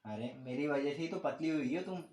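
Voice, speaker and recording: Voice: medium pitch, expressive, brisk, a little mellow, close to an everyday voice. A performer playing a proud, scheming husband in an amateur moral story, with a self-justifying manner. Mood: self-satisfied. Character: image-conscious scheming husband, proud of himself. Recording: roomy, echoing sound.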